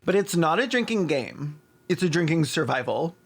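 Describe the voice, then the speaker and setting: A man's voice: a little high, sing-song, normal speed, breathy edge. A man hosting a video essay with snarky, mocking, sometimes sweary scripted commentary and asides.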